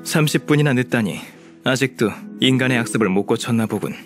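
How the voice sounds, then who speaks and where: A man's voice: mid-range voice, fairly quick, natural voice. Man playing a stern, aloof immortal warrior. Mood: cold, disapproving. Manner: curt, strict, solemn. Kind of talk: video game voice acting. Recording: music playing behind the voice.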